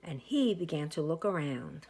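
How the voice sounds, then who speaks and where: A woman reading a picture book aloud as a teacher to young children, with expressive, drawn-out emphasis. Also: low voice, noisy background. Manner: animated, with slow drawn-out emphasis; asides and explanations for young listeners.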